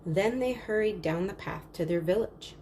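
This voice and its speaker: A woman's voice: medium pitch, normal speed, darkish tone, slight background noise. A woman narrating a children's picture book aloud in a storytelling style.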